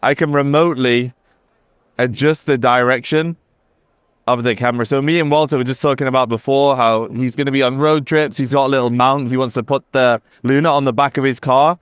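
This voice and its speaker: A man's voice: medium pitch, steady tone, a little fast, clear, resonant tone, no room sound, clean studio sound. A man representing a company, giving an enthusiastic, casual product demo on a busy trade show floor. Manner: enthusiastic, conversational, with fillers. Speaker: company representative demoing a camera.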